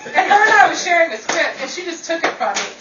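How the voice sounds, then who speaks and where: A woman who hosts and performs in a radio-style show, animated as she announces and chats with castmates. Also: extremely high pitch, fast, muffled recording. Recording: noisy echoey live room, far from mic.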